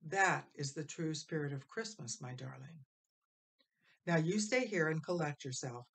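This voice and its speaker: A woman voicing a gentle, forgiving mother in a storybook, tender and soothing, at times nearly whispering. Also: low voice, measured pace, vocal fry, breathy edge, heavily acted.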